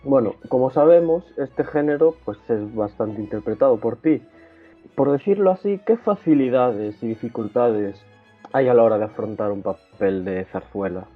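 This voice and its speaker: A man's voice: mid-range voice, soft background music. Male podcast host on a tinny line. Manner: asking measured questions. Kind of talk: interview questions. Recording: tinny phone-like audio.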